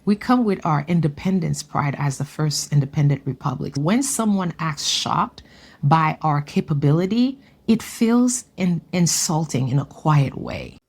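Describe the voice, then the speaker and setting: A woman from Haiti giving an earnest, measured personal testimony. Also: deep voice, expressive, darkish tone.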